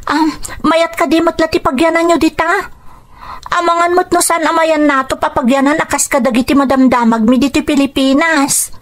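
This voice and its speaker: Woman acting Mary, a high-voiced caring young student. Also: steady tone, moderate pace, natural voice. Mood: relieved, caring. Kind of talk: Ilocano radio drama acting.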